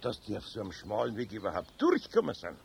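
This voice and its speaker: An actor playing a grumbling but caring old Bavarian man in a children's radio play, with a homely, expressive Bavarian manner of speech. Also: on the low side, fast, vocal fry, breathy edge, exaggerated voice. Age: older. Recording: noisy 1970s radio play recording.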